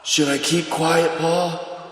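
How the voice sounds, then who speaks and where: A man's voice: on the high side, little pitch movement, slow pace, fairly bright tone, a little hiss or hum. A man playing a naive, anxious, childlike grown son asking questions in a surreal audio drama. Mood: timid, questioning. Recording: very echoey reverberant hall.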